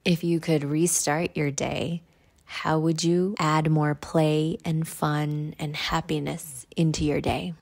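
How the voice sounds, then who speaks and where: A woman leading a guided meditation in a measured, instructional way. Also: deep voice, level tone, vocal fry, darkish tone.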